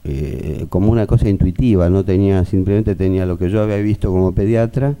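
A middle-aged or older man with an Argentine accent giving halting spoken testimony as a pediatrician witness, searching for details. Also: low-pitched, warm tone, clear, resonant tone.

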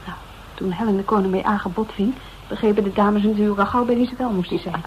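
An actress plays an earnest woman pressing for answers in a radio drama. Her voice is mid-pitched and insistent. The sound is dull, with slight noise behind it. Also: fairly even intonation, quick pace, natural voice. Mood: urging. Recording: dull, faintly noisy radio recording.

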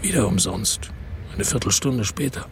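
A man's voice: medium pitch, sing-song, normal speed, a little hiss or hum. A man narrating a radio drama in the first person, brooding and introspective.

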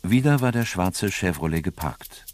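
Deep-voiced male narrator. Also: lively intonation, normal speed, a little hiss or hum. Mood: sober. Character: sober male crime-story narrator. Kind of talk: scripted literary narration.

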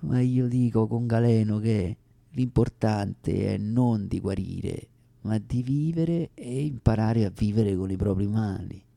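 A man performing a comic radio theatre monologue as an actor, with deliberate, wry, dramatic phrasing and pauses. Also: on the low side, gravelly, dark tone.

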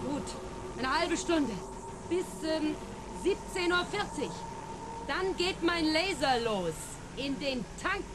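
An actress playing a determined hijacker, calm and threatening, in radio play dialogue. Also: female voice, sits high, slow, noisy background. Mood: resolute, threatening. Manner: calm, resolute.

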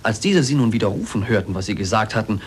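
An actor playing a scientist's former male pupil in a 1940s radio drama, formal, measured and coolly reproachful. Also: on the low side, very animated. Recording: noisy 1940s radio recording.

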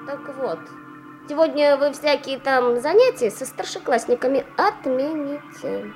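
A woman's voice: high voice, unhurried, darkish tone, faint music behind. An actress in a radio play voicing an imperious, cheeky schoolgirl who gives emphatic orders to grown-ups. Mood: bossy, commanding. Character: bossy, imperious schoolgirl giving orders to adults. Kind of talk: theatrical radio drama acting.

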